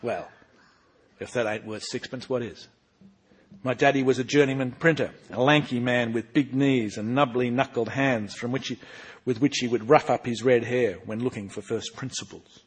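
A man with a husky voice reading aloud as a cheeky, bookish boy narrator, with animated, emphatic storytelling. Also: medium pitch, moderate pace, pitched above the performer's own voice, lightly acted. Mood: spirited. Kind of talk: reading aloud from his own book.

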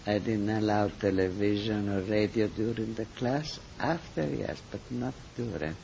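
An older man with an Italian accent, a cooking teacher telling stories in an interview, halting and full of fillers and restarts. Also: fairly low pitch, gravelly, darkish tone, a little hiss or hum. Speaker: cooking school teacher, guest. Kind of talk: interview answers, storytelling. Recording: slightly dull, muffled recording.

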